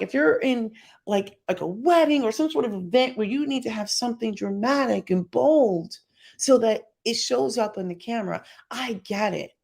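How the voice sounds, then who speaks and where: A woman's voice: gravelly, a little mellow. Middle-aged woman, YouTube commentator, opinionated and sassy, emphatic delivery. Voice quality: deep voice by her own account. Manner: sassy, emphatic, mocks others in put-on voices.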